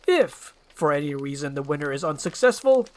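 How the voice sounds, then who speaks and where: A woman's voice: deep voice, very animated, fairly quick, darkish tone. A woman voicing a pompous, scheming evil lord who gives commanding speeches in a storybook roleplay. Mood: grandiose.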